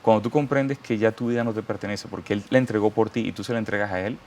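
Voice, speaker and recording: A man's voice: on the low side, fairly even intonation, quick pace, darkish tone, a little hiss or hum. A man with a Venezuelan accent, a guest giving a fervent, preacherly testimony and quoting scripture. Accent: Venezuelan Spanish. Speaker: interview guest. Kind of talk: testimony interview.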